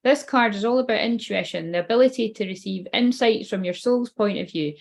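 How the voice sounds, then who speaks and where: A woman's voice: medium pitch, fairly quick, gravelly, darkish tone. A woman giving a casual tarot card reading. She rambles loosely and swears offhandedly.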